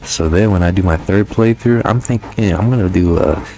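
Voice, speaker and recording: A man's voice: deep voice, normal speed, warm tone, soft background music. A young man giving a casual, sweary video game review as a gaming YouTuber. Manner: informal, heavily sweary.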